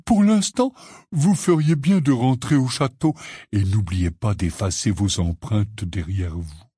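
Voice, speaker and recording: A man voicing a big, hearty, stubborn but good-natured gamekeeper in a storybook, with a husky, blustery drawl. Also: lowish voice, measured pace, dark tone, no echo, clean studio sound. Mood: tired, reassuring.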